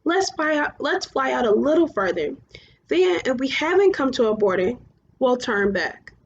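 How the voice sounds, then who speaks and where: A woman's voice: high-pitched, big pitch swings, moderate pace. A woman voicing a weary, determined young boy hero in a storybook, questioning and stubborn. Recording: muffled, clearly noisy home recording.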